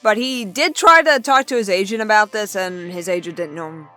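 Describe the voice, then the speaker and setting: A young woman vlogger chatting casually as she reads out and answers viewer comments, in a chatty, excitable manner. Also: medium pitch, moderate pace, faint music behind.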